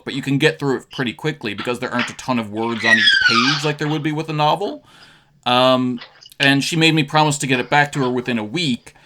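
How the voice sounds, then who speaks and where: A man's voice: mid-range voice, pushed and bright. A man hosting a radio interview in casual chat, rambling with fillers and restarts. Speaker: radio host.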